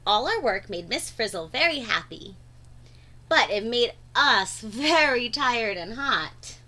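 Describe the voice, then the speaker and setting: Female storybook reader, high bright voice, expressive and dramatic delivery for a young audience. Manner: animated, dramatic emphasis with drawn-out words. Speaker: teacher reading aloud to children. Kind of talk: expressive storybook read-aloud.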